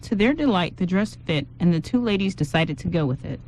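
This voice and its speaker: A woman's voice: fairly low pitch, lively intonation, brisk, darkish tone, slight background noise, rough recording. A woman reading scripted narration as the storyteller of an amateur radio drama.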